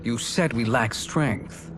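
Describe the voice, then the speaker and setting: An actor playing a shrewd, questioning sorcerer in a video game, with a deliberate, probing delivery. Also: male voice, medium pitch, background noise, natural voice. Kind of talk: dramatic video game cutscene acting.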